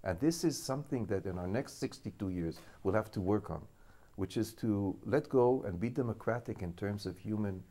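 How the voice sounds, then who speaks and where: A man in his fifties who grew up in Israel, a school director speaking as an interview guest, thinking aloud with halting restarts. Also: on the low side, dark tone. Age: 50s. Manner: thoughtful, halting, restarts while reasoning. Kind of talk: studio interview.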